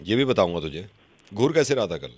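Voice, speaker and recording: A man's voice: lowish voice, sing-song, fairly quick, slightly rough, dry recording. A man making prank phone calls as a radio host, badgering and repeating himself as if offended. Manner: badgering, repetitive, feigning offence.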